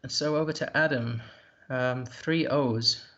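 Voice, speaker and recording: A man's voice: mid-range voice, fairly even intonation, measured pace, a bit bright, muffled recording. A man commentating a live board game, thinking aloud in a hesitant, musing way.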